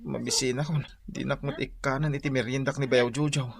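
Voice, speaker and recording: Male actor as put-upon Filipino barber husband, mid-pitched, weary and obliging, for radio comedy drama. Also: little pitch movement, a little fast, creaky, crowd noise, lightly acted. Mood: weary complaining.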